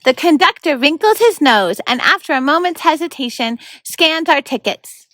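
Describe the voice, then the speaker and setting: A woman's voice: high-pitched, expressive, moderate pace, fairly bright tone, dry recording. A woman reading scripted narration from a children's book as host of a story time.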